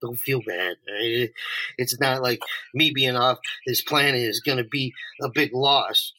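A man's voice: mid-range voice, measured pace, pushed and bright, poor audio. A man playing a desperate, bitter homeless drug addict in an audio drama, agitated and stammering, swearing and sarcastic. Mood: resigned. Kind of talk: naturalistic two-person audio drama acting.

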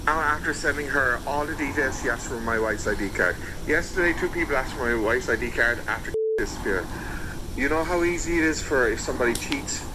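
A man's voice: mid-range voice, a little fast. A man leaving insistent, defensive voice messages to a buyer, calling him "mate" and pressing him to act. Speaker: seller in voice messages. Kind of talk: recorded voice messages. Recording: noisy phone voice message.

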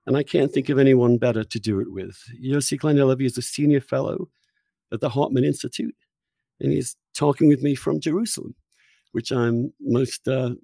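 A man hosts an interview podcast in a relaxed, conversational way, often restarting and rephrasing his sentences. His voice is dark and mellow. It sounds close and dry, with no room around it. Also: medium pitch, no echo. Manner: conversational, rambling self-corrections. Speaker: podcast host.

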